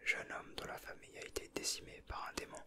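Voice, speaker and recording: A man whispering softly in a casual ASMR style, with a breathy, hushed voice. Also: quick pace. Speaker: ASMR video creator. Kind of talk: whispered ASMR, chatting and reading aloud from a booklet.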